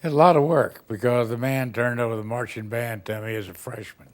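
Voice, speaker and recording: A man's voice: medium pitch, fairly even intonation, brisk. An older man from Texas, a retired band director, telling rambling, folksy stories in an interview. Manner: rambling, folksy storytelling with chuckles. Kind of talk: reminiscing interview answers.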